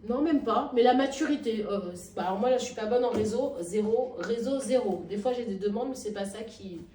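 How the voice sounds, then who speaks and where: A woman being interviewed, with frequent restarts and hesitations that give way to emphatic, lively stretches. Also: a little high, a little fast, a little hiss or hum. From Nigeria and Madagascar. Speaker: actress and workshop founder, interviewee. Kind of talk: spontaneous interview talk. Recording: echoey room, heard from a distance.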